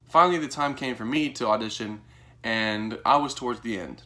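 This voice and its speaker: A young American man tells a story in a casual vlog style. His voice sits at an average pitch for a man. He is animated and informal, slipping into quoted dialogue as he goes. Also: normal speed, slight background noise. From Texas, United States. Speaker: vlogger.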